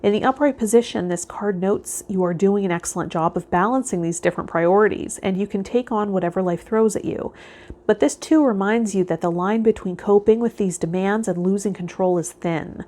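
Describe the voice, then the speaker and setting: A woman hosting a tarot vlog, speaking in a measured, instructive way straight to the listener. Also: medium pitch, mellow. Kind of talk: reading tarot card meanings aloud, then casual guided talk to viewers.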